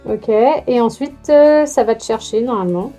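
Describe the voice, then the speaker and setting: Casual female gear vlogger, mellow high voice, informal and sweary, light music underneath. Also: moderate pace. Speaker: motorcycle vlogger testing gear. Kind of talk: casual chat while demonstrating gear.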